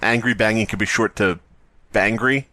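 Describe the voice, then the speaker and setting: A man's voice: fairly low pitch, steady tone, normal speed, sharp tone. A man hosting a podcast, reading a horror story aloud and bantering in a joking, sweary, theatrical way. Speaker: podcast host.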